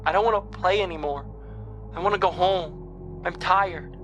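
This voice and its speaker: An actor in a horror audio drama playing a sad, frightened young ghost girl who talks haltingly and repeats things like a child. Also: mid-range voice, dull audio, music in the background, lightly acted. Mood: weary, sad. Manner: halting, repetitive, childlike speech.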